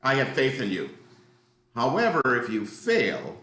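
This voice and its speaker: A man reading a controlling, calculating businessman father in his fifties, deliberate and insinuating, in a script read. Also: mid-range voice, wildly swooping pitch, fairly bright tone. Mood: cool, menacing. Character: controlling, calculating fifty-ish businessman father, crime boss. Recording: echoey room.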